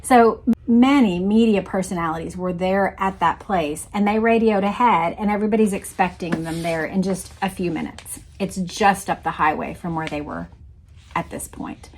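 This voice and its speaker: A woman's voice: fairly low pitch, moderate pace, darkish tone, a little hiss or hum. A woman reading a book aloud and breaking off to explain and point things out to the viewer. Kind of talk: read-aloud narration with explanatory commentary.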